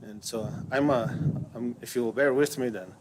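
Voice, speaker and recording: A man whose first language is Lakota speaks haltingly at a ceremony, with plenty of ums and pauses, then settles into a steady, rhythmic prayer. He has a middle-pitched voice. The live stream carries clear background noise. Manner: hesitant, many fillers, then steady chanting prayer.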